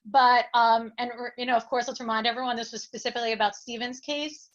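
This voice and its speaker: A woman's voice: fairly high pitch, fairly even intonation, quick pace, a bit bright. A woman speaking unscripted as a political candidate on a discussion panel, hesitant and thinking aloud as she goes. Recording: dull lo-fi sound.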